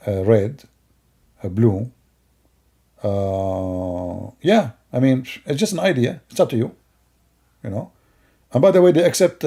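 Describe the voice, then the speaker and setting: Gravelly, mocking middle-aged man. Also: medium pitch, warm tone. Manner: mocking, rambling, with broken grammar. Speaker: commentator. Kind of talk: sarcastic commentary over video clips.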